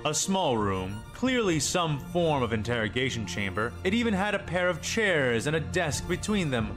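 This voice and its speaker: A man's voice: a little high, big pitch swings, normal speed. A man reading a scripted dramatic story as its narrator. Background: music.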